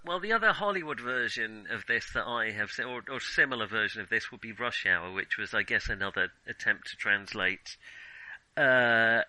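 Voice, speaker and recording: A man co-hosting a film podcast in casual discussion, giving emphatic opinions and halting as he thinks aloud. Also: on the low side, pushed and bright, rough recording.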